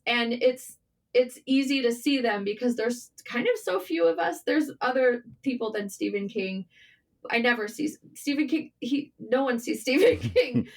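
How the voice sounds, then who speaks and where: High-voiced woman, candid and animated. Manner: animated, informal, swearing, self-deprecating. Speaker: guest, writer and journalist. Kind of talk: casual, candid interview chat.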